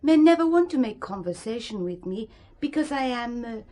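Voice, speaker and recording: An actress playing a frank, earnest young German woman with a German accent, speaking deliberately in an audio drama. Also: fairly high pitch, animated, warm tone, lightly acted. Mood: wistful, frank.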